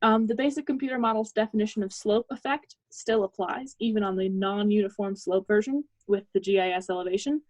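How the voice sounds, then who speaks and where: A woman's voice: medium pitch, a little fast, hardly any pauses, a little mellow, no echo, low-quality recording. Young woman presenting, close dry sound. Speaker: student presenter. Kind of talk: technical project presentation.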